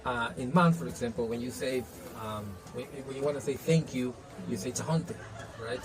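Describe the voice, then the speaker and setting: A man's voice: mid-range voice, normal speed, a crowd in the background, low-quality recording. Earnest man, Spanish native speaker. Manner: earnest, frequent "you know" and restarts. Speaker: interviewee. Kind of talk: casual interview answers.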